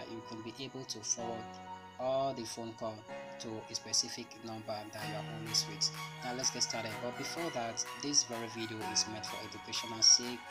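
Male tutorial host, even unvaried delivery, step-by-step instructions over loud music. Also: mid-range voice. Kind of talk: instructional screen-recorded tutorial narration. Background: loud background music.

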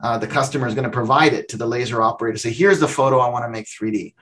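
A man's voice: mid-range voice, racing, a bit bright. A middle-aged man, a company founder, giving a long explanatory answer on a video call from his home office, talking with his hands.

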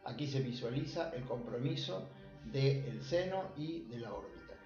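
A man from Argentina with an Argentine accent, a surgeon presenting a clinical case in a measured, formal way. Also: mid-range voice, lively intonation, a little mellow, reverberant, lo-fi sound, faint music behind. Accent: Argentine Spanish. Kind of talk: recorded medical case presentation.

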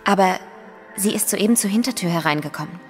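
An actress playing a dutiful, watchful young niece in a German audio drama, speaking earnestly and cautiously. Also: female voice, medium pitch, fast talker, natural voice. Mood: concerned. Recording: reverberant hallway with music.

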